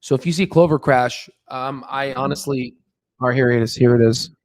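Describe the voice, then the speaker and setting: A man hosting a casual live stream, thinking aloud in a halting way as he comments. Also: medium pitch, fairly even intonation.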